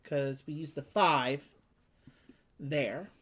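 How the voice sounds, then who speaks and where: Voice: mid-range voice, extremely slow, fairly bright tone. A person chatting casually over a board game, talking through options and thinking aloud as co-host. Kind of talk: casual chat while playing a board game.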